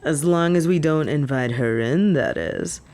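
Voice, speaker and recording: A woman's voice: low voice, measured pace, darkish tone, slight background noise, pitched below the performer's own voice. A woman voicing a cocky, flirty female werewolf in a roleplay audio drama, possessive and teasing with a drawl. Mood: smug, coaxing.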